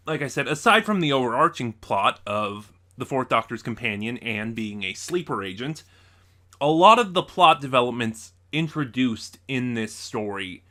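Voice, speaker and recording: A man's voice: medium pitch, expressive, unhurried, pushed and bright. A man hosting a casual review video, speaking in a conversational, opinionated way straight to the audience. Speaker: video reviewer and channel host.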